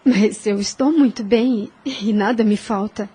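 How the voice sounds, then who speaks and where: Actress as gentle, husky young woman in love. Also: mid-range voice, unhurried. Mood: wistful. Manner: earnest, formal, sentimental diction. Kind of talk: Spiritist radio drama acting.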